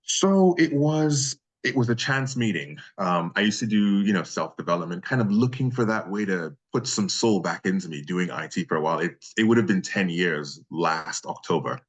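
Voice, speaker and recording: Deep-voiced man from Nigeria. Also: expressive, moderate pace, hardly any pauses, husky, highs cut off. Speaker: voice actor being interviewed. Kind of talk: casual interview chat.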